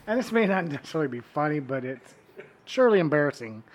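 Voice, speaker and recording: A man's voice: fairly high pitch, very animated, quick pace, slight background noise. A father on a casual podcast telling a story hesitantly and sheepishly. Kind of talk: casual chat.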